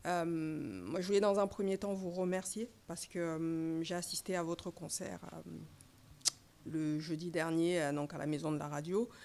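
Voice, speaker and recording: A woman's voice: low-pitched, steady tone, darkish tone, a little hiss or hum. Hesitant woman journalist asking a question. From Congo. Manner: hesitant, many pauses and fillers, polite. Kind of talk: asking a question at a press conference. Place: press conference room. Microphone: standing microphone.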